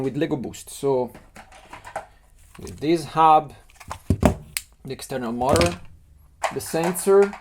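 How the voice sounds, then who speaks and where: A middle-aged man with an Italian accent, a native Italian speaker, explains his model design process in a halting way, often restarting words as he sketches. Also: a little high, a little mellow, a little hiss or hum. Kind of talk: thinking-aloud design tutorial.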